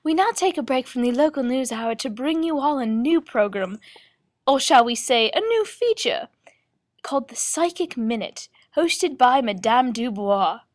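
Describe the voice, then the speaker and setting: A woman's voice: sits high, expressive, measured pace. A woman voicing a formal, courteous radio news host who probes callers and gets flustered in a radio play. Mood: announcing. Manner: formal, courteous, hesitant when taken aback. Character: polite, probing female radio news host, easily flustered.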